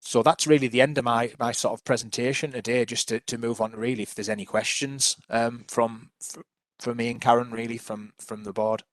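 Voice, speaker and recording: A man's voice: mid-range voice, steady tone, brisk, dry recording. A man presenting public health work to a council panel over a video call, hesitant, with many restarts.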